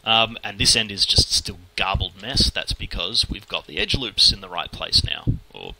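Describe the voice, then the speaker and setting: Fast-talking Australian man, gravelly low voice. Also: expressive, very noisy. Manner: fast, informal, mutters at the software. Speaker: 3D modelling tutor. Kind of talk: screen-recorded software tutorial, thinking aloud. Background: keyboard typing and mouse clicks.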